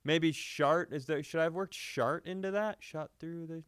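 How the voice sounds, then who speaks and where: A man's voice: a little high, moderate pace, smooth, no echo, clean studio sound. A man co-hosting a comedy podcast, riffing casually with mock-earnest, opinionated delivery.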